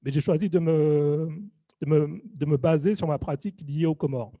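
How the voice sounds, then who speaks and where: A young man giving a halting conference talk, full of fillers and restarts. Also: on the high side, dark tone, dry recording. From Réunion. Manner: hesitant, many fillers and restarts. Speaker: video artist giving a lecture.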